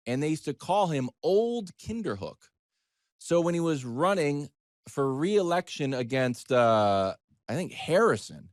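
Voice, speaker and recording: A middle-aged man telling a conversational story as a sports talk panelist, animated and wry, slipping into imagined dialogue. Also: medium pitch, no room sound, clean studio sound.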